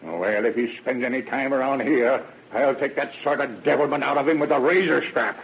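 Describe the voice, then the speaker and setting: An actor playing a stern, grudging old rural American father in an old-time radio drama, speaking gruff folksy dialect. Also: medium pitch, fairly quick, natural voice. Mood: irritated, threatening. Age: older. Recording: noisy, tinny old radio recording.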